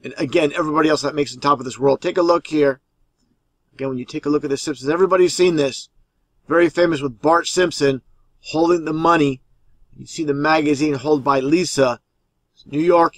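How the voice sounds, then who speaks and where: A man's voice: a little high, steady tone, fairly quick, a bit bright, no echo. A man giving informal video commentary as a narrator.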